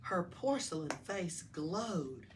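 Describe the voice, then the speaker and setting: A woman reading a children's storybook aloud, expressive and patient. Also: fairly low pitch, slow pace. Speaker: library story-time reader. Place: public library room. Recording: distant mic with faint room noise.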